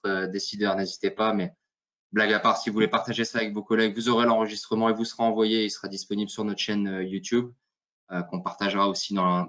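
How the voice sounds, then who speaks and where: A man hosts a webinar in a relaxed, joking way, full of little fillers and asides. His voice sits fairly low. It comes through a muffled, lo-fi video call connection. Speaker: webinar host. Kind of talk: casual webinar presentation. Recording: dull lo-fi call audio.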